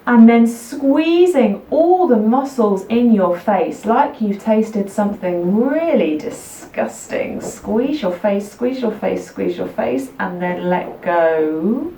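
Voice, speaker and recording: A woman teaching a guided relaxation practice for children, speaking soothingly in unhurried, repetitive instructions with gentle pauses. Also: medium pitch, animated, a little hiss or hum. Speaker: yoga nidra teacher. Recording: roomy echo, distant microphone.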